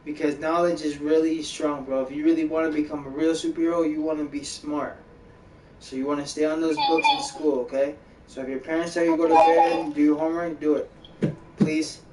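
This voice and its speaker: A man's voice: fairly high pitch, flat delivery, fairly quick, roomy sound, far from the mic, noisy background. A man streaming a game, giving repetitive mentor-like advice in casual chat and calling the listener bro and buddy. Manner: talks like an older mentor, repeats himself, calls the listener bro and buddy.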